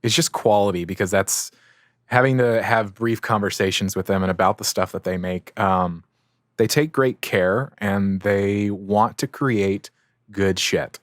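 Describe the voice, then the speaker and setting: Low-voiced man hosting a casual chat. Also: steady tone, a little slow, no room sound, studio quality. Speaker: interview show host, audio editor.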